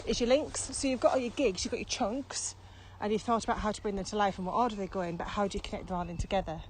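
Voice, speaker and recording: A woman's voice: medium pitch, very fast. A middle-aged woman in a casual explanatory podcast chat, talking through things step by step in an emphatic, conversational way. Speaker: podcast co-host and experienced trainer. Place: outdoors, walking. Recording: outdoor walking recording, clear background noise.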